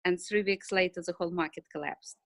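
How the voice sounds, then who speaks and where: A middle-aged woman with an Eastern European accent, answering interview questions casually in short, halting phrases. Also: lowish voice, fairly even intonation, vocal fry, no room sound, clean studio sound. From Ukraine and Russia. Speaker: interview guest, financial services business owner.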